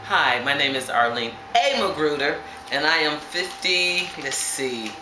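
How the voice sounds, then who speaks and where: A woman's voice: sits low, expressive. A woman in her fifties from New York, playful and giggly in casual chat with friends. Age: 50s. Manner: playful, giggly, drawn-out delivery. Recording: echoey room with loud background noise.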